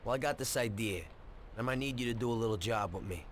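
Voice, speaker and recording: An actor playing a greedy, chummy small-time American mobster in a 1930s crime game, with slangy, cajoling streetwise talk. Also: male voice, medium pitch, fast, a little hiss or hum, natural voice. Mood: coaxing, secretive. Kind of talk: video game cutscene acting.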